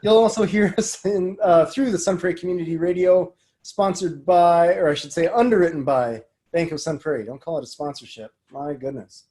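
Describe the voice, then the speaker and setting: High-pitched man hosting a chatty podcast. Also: brisk, hardly any pauses, mellow. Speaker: podcast and community radio host. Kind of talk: casual interview. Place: remote call.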